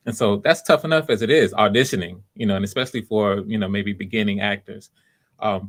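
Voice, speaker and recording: A man hosting a livestream, explaining things casually in a halting way and often repeating himself. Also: lowish voice. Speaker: livestream host running voice auditions. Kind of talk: casual live announcements to viewers.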